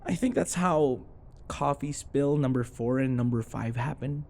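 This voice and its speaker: A man's voice: medium pitch, unhurried, gravelly, a little mellow. A man acting a grumpy, sarcastic office rival who grudgingly cares, in an intimate, blunt, conversational roleplay style. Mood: teasing, exasperated. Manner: exasperated, blunt, conversational, sighing.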